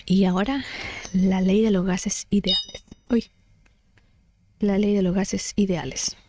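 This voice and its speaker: A woman's voice: mid-range voice, level tone, slow, darkish tone. A woman chatting casually as she explains things, thinking aloud and correcting herself in an informal way. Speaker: book study vlogger.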